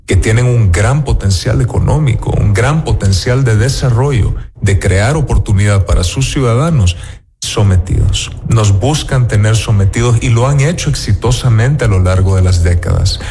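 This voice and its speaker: A man's voice: lowish voice, normal speed, mellow, slight background noise. A man with a Salvadoran accent, an interview guest making assertive political arguments. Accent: Salvadoran Spanish.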